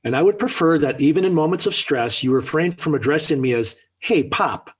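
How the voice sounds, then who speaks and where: A middle-aged American sitcom father on vintage 1940s radio. His voice is slightly high and husky, swinging widely as he delivers formal, dryly sarcastic lines with weary exasperation. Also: expressive, a little fast. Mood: stern, pompous.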